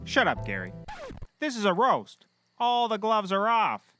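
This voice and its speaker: A man playing a petty, excitable, bombastic private investigator with a bickering, theatrical delivery in a comedy. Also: sits high, a little slow, over music, exaggerated voice. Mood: scornful.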